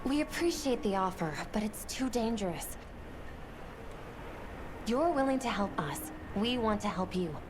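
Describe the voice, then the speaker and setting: A woman playing a calm, diplomatic monster hunter in a video game. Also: fairly high pitch, a little slow, pauses often, background noise. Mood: kindly, persuasive. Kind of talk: video game character acting.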